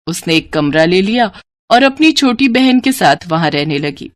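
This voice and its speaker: A woman's voice: lowish voice, very animated, normal speed, no room sound. A woman narrating a cartoon story in a scripted, emotive storybook manner.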